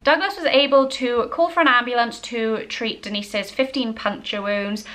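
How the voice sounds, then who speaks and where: A woman's voice: on the high side, normal speed, a bit bright. A woman in her twenties hosting a true-crime vlog, telling the story to camera in a conversational, matter-of-fact way. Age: 20s. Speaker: host. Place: small indoor home room.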